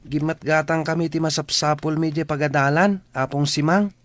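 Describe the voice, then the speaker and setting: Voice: medium pitch, fairly even intonation, moderate pace, no echo, lightly acted. An actor playing a polite, eager schoolboy in an Ilocano radio drama, speaking respectfully and emphatically. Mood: earnest, grateful. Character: polite, earnest, grateful schoolboy.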